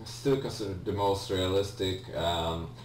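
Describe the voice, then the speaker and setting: A man with a low voice talks slowly through a video game as its player and host. He is hesitant and rambling, often correcting himself mid-thought. The recording is echoey, as if the mic sits at a distance in a room. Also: steady tone. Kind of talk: casual gameplay commentary. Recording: roomy, distant mic with faint hum.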